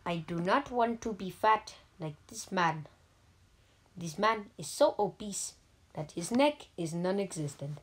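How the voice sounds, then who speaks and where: A gaming YouTuber with an Indian accent, giving deadpan, halting comedic commentary over mobile gameplay. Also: female voice, mid-range voice, slightly rough, poor audio. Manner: deadpan, halting, joking persona.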